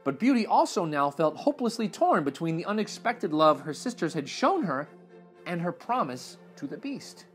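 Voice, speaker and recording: A man in his thirties reading a fairytale aloud to children in expressive narration. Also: on the high side, moderate pace, soft background music. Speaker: children's story reader. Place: small furnished room indoors.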